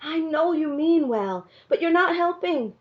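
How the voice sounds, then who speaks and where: A woman's voice: high voice, animated, measured pace, dull audio, voice pushed up. A woman voicing a weary, earnest mother in a storybook read-aloud, pleading and emphatic. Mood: worried, pleading. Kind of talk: storybook character dialogue.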